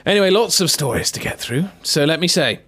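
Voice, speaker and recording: A man hosting a casual tech podcast, chatting with co-hosts and reading news items in a brisk presenter tone. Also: on the high side, expressive, fairly bright tone, slightly rough. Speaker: podcast host.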